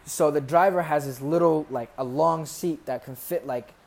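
A young American man, a podcast guest, casually telling animated stories with jokes and laughs. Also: on the high side, moderate pace. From United States. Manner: animated, joking, laughs through his stories. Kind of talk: casual conversational storytelling.

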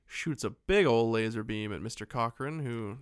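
A man co-hosting a podcast, casually retelling a film in a joking, animated way and happy to swear. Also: mid-range voice, normal speed, clean tone, no echo, clean studio sound. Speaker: podcast co-host. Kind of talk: casual film recap chat.

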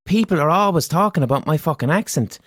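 A man's voice: on the high side, lively intonation, quick pace, slightly rough, dry recording, clean studio sound. A man from Ireland with a Limerick City accent, chatting bluntly and swearing as he tells a story. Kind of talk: casual chat.

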